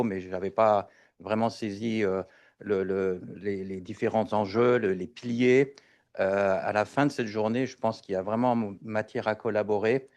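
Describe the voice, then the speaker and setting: Homme francophone conférencier, voix grave. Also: male voice, on the low side, darkish tone. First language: French. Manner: hesitant, many fillers, switches between French and English. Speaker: invited speaker, pediatrics professor. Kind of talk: conference presentation. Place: conference hall.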